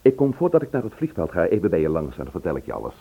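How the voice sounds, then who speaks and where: A man's voice: sits low, huge pitch swings, rapid-fire, creaky, mellow, husky, exaggerated voice. An actor playing a genial, teasing man with a hearty, chatty manner in a Dutch radio play. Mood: easy teasing. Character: genial, teasing man bringing news to friends. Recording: dull old radio play recording.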